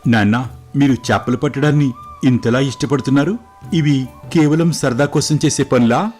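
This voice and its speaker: A man voicing an eager, impatient young prince, earnest and excitable, in a storybook tale. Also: mid-range voice, normal speed, soft background music, voice pushed up, close to an everyday voice. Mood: curious, earnest. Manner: earnest, excitable, respectful to father. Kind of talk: scripted moral story dialogue.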